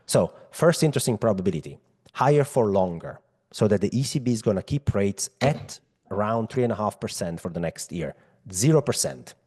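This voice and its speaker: Low, husky man with an Italian accent. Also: animated, fairly quick, a little mellow. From Europe. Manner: conversational, emphatic, addresses listeners directly. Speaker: macro analyst presenting. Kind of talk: explanatory finance presentation.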